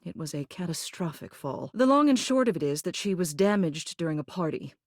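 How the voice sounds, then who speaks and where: A woman's voice: fairly low pitch, expressive, brisk, no echo, studio quality. A woman voicing a dignified, protective mayor in a video game, with formal, genteel diction and an authoritative manner. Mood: fond, composed. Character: dignified, protective woman mayor, an old living household object. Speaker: voice actor in a dating sim game. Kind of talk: polished video game character acting.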